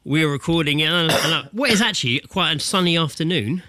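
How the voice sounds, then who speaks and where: A man's voice: fairly high pitch, a little fast, background noise. A British man co-hosting a podcast over a call, chatting casually with a jokey, sweary manner into a close microphone. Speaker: podcast co-host. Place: indoors, recording remotely over Skype.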